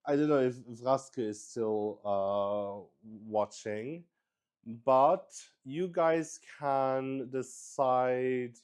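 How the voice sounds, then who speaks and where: A man's voice: mid-range voice, a little mellow, clean tone. A man hosting a casual livestream, thinking aloud and rambling in a hesitant way. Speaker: streamer hosting a solo tabletop roleplay stream. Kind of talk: casual improvised livestream chat.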